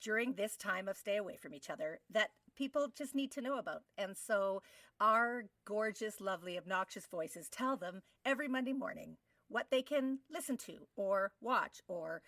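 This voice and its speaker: A woman's voice: mid-range voice, lively intonation, normal speed, fairly bright tone. A middle-aged woman co-hosting a podcast with jokey, mock-dramatic banter and a radio-host flair. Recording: roomy, far from the mic.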